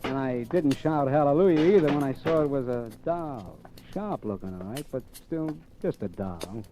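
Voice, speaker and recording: Wanted: male actor for a cocky, cynical drifter, mellow-voiced and wisecracking, 1940s radio crime drama. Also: mid-range voice, animated, normal speed, close to an everyday voice. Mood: sardonic. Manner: wisecracking, slangy, offhand. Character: cocky, cynical drifter man accused of murder. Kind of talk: 1940s radio acting, first-person narration and dialogue. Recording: old radio recording with music behind.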